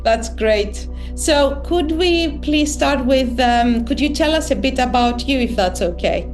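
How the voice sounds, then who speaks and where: A woman's voice: sits high, lively intonation, a little slow, faint music behind. A woman hosting an interview podcast, speaking carefully with frequent hesitations and fillers. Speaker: podcast host.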